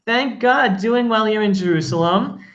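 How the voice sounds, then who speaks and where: A woman's voice: a little high, normal speed. Talkative woman host, lo-fi sound. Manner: lively and warmly talkative, jokes about losing her place. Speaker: interviewer host. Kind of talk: casual interview. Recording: dull lo-fi sound.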